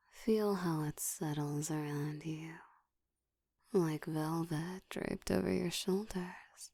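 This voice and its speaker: A woman voicing a seductive, dominant ancient vampire in an intimate ASMR roleplay, teasing and commanding, often slipping into a soft whisper. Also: no room sound, clean studio sound, exaggerated voice. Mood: hypnotic, commanding. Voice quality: soft, breathy whispering mixed with low murmur.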